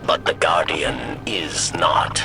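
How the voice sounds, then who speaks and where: An actor playing a wry, philosophical alien spymaster in a video game, speaking in measured, cryptic phrases. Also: male voice, a bass voice, gravelly, whispered, music in the background, exaggerated voice. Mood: wry, musing. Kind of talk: video game mission dialogue.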